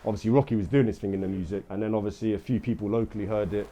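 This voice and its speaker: A man's voice: fairly low pitch, steady tone, rapid-fire, mellow. A young man raised in England, chatting laid-back as a rapper guest in an interview, dropping slang tags and chuckling. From born in Morocco, raised in England. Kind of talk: casual interview chat.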